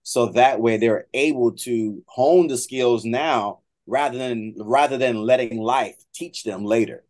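Middle aged american guy being interviewed, mid pitch, keeps rewording stuff as he talks. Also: a little slow, darkish tone. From Miami, United States. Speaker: guest, trombone professor. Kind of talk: conversational interview.

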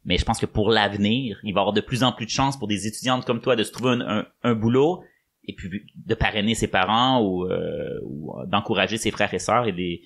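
A man's voice: fairly low pitch, brisk, fairly bright tone. A man hosting a podcast in a conversational interviewing style. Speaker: podcast host.

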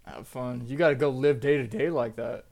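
Gravelly young man teasing casually. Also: mid-range voice, moderate pace, a little mellow. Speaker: podcast co-host. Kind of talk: casual chat.

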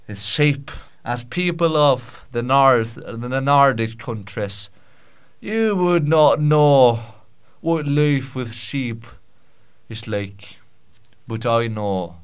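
A big-bellied Scottish storyteller, put on by a man, holds forth in a mid-pitched voice. He is pompous and mock-solemn, reaching for archaic phrases. His improvised comic monologue rambles, stumbles and circles back on itself. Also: clear, resonant tone.

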